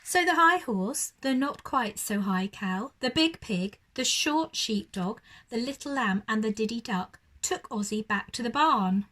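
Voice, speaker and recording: A woman's voice: on the high side, expressive, a little slow, few pauses, sharp tone. A woman reading a children's bedtime story expressively, like a primary school teacher addressing young children.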